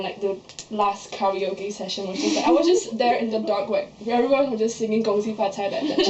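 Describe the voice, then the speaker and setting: A young woman from Malaysia, a student standing for a society post, talking through her nomination speech and answering questions in a chatty way full of fillers. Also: mid-range voice. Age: young adult. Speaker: candidate for student society office. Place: student society meeting room. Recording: echoey room, dull lo-fi sound.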